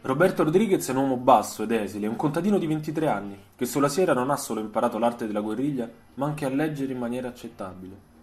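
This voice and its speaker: A man reading a scripted literary story for radio, in a measured, dramatic storytelling way. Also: mid-range voice, animated, a little mellow.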